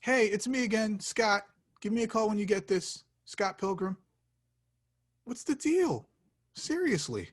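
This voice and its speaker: A man's voice: sits high, very animated, unhurried, pauses often, breathy edge, full character voice. A man playing an awkward, lovesick young slacker in a table read, plaintive and hesitant. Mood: mopey, whiny. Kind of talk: table read of a comedy film script.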